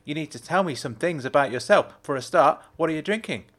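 A man's voice: fairly high pitch, animated, fairly quick, fairly bright tone, pitched above the performer's own voice. A man voicing a brash, bossy young woman student who fires off pushy, teasing questions.

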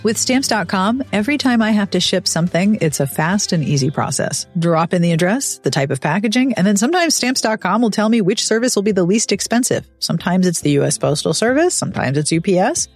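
A woman's voice: mid-range voice, animated, fairly quick, few pauses, soft background music. A woman hosting a podcast, reading her intro and ads in an upbeat, chatty and personal way. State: recovering from illness. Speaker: podcast host. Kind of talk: scripted podcast intro and host-read ads.

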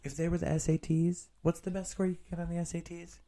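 A man's voice: fairly high pitch, fast talker. A man in his thirties chatting casually as a podcast co-host, telling stories in an emphatic, comedic and sweary way. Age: 30s. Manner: animated, swearing, emphatic storytelling.

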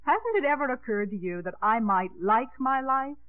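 A woman's voice: sits high, very animated, moderate pace, dry recording. Poised, teasing small-town lady mayor. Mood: amused, teasing. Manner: composed, teasing. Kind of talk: 1950s radio comedy acting. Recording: old radio recording, thin phone-like sound.

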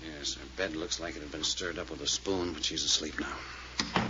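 Low-voiced, terse American detective. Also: male voice, steady tone, normal speed, bright, forceful tone, heavily acted. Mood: cool, terse. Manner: terse, commanding. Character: terse, commanding, hard-boiled male detective leader. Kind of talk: 1940s radio acting. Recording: muffled, very noisy old radio recording.

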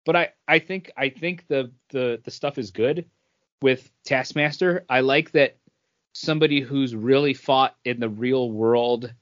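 A man's voice: mid-range voice, fairly bright tone, dry recording. Male podcast co-host, mid-range voice, loose rambling talk, dry and slightly dull recording. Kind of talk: casual, rambling chat about comic book movies.

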